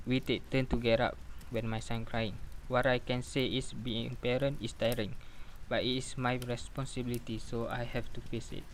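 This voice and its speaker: A man's voice: medium pitch, flat delivery, moderate pace, slight background noise, natural voice, poor audio. A man playing a dutiful married father in an amateur radio drama, reciting formally in non-native English phrasing. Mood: earnest, dutiful. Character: weary, dutiful married father of four in confession.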